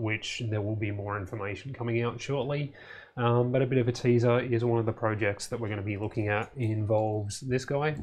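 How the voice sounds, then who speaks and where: A man in his thirties with a low voice and very little rise and fall gives a casual video update from his small home electronics lab. He talks in a relaxed, matter-of-fact way, with plenty of "um"s, and sounds slightly hoarse. The sound comes from a camera mic a short way off. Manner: relaxed, matter-of-fact, many "um"s and "you know"s. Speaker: electronics channel host.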